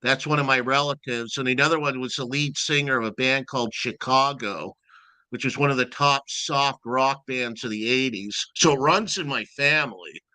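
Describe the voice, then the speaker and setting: A man with a naturally loud voice talks at length as an interview guest, rambling with a lively up-and-down delivery. His tone is bright and forceful. The sound is dry and close, with no room echo. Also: medium pitch, moderate pace, clear, resonant tone. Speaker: guest, event timekeeper. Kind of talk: casual interview chat.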